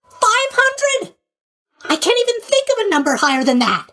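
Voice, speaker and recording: A man's voice: very high voice, very animated, normal speed, pushed and bright, heavily acted. A man voicing a boyish, sleepy god of sleep in a video game, with a bubbly, scatterbrained, casually tactless manner. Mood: amazed. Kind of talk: animated video game voice acting.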